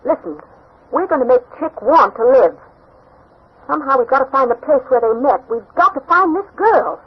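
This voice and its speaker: An actress playing a brisk, determined newspaper reporter in a 1940s radio drama, urgent and commanding. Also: female voice, high voice, expressive. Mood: urgent, insistent. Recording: muffled, noisy 1940s radio broadcast.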